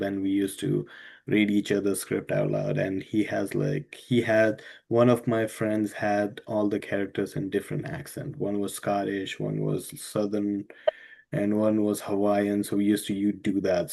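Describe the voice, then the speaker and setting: A man hosting a casual interview, relaxed and hesitant, with many fillers. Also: on the low side, creaky. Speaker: podcast host.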